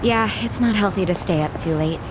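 A woman's voice: mid-range voice, normal speed, noisy background, close to an everyday voice. An actress playing a spirited, teasing young woman sidekick in a video game. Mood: teasing, upbeat. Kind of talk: English video game voice acting.

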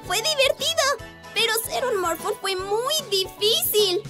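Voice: very high-pitched, animated, measured pace. An actor voicing a small child in a children's cartoon dub, with music underneath. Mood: apologetic, relieved.

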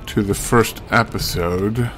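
A man's voice: fairly low pitch, fairly even intonation, slow pace, a bit bright, a little hiss or hum. A man giving casual, rambling video game commentary in a wry, musing way, thinking aloud. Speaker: game streamer.

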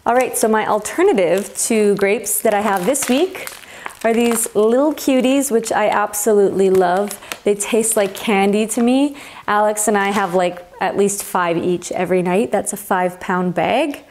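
A woman's voice: mid-range voice, moderate pace, a little mellow, a little hiss or hum. Chatty young woman vlogging in her kitchen. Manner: chatty and upbeat, gestures a lot, shows groceries. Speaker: healthy eating vlogger. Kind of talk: casual talk to camera. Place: home kitchen, indoors. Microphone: clip-on lapel mic.

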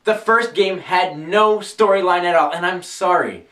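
A man's voice: high-pitched, a little slow, pushed and bright. A young man acting an opinionated, skeptical geek in a scripted comic debate, emphatic and dismissive. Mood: argumentative, dismissive. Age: young adult. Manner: emphatic, argumentative. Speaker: actor in a web comedy sketch. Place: small furnished room indoors. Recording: roomy, mic some distance away.

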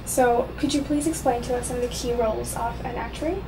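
A woman's voice: fairly high pitch, fast. A young woman, a student, politely asking prepared interview questions in a small office. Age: young adult. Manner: polite, soft backchannels. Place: small office meeting room, seated at a table. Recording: distant voice with room hum.

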